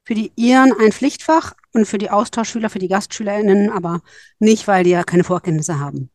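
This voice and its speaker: A woman advising on school exchanges speaks at length and thinks aloud as she explains. Her voice sits at an ordinary pitch and sounds dry and close, with no room echo. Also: fairly quick, darkish tone. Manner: thoughtful, explains at length with fillers. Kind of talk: informative podcast talk.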